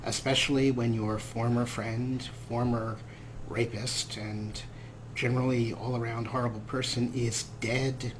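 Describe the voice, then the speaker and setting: A man's voice: mid-range voice, little pitch movement, unhurried, a little hiss or hum, low-quality recording. A man hosting a gaming show with casual let's-play commentary, talking in a wry, rambling way.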